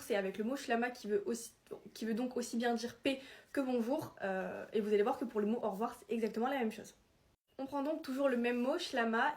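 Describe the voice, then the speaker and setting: A young woman speaks with a slight Italian accent in a fairly high voice. She chats casually to her viewers, stumbles and restarts often, and laughs off her own mistakes. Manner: chatty, self-deprecating, frequent restarts and fillers. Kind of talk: casual explainer talking to camera.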